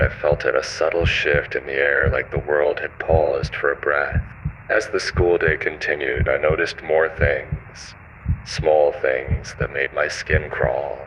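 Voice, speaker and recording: A man narrating a scripted horror story in a measured, ominous first-person manner. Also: a bass voice, a bit bright, slight background noise, rough recording.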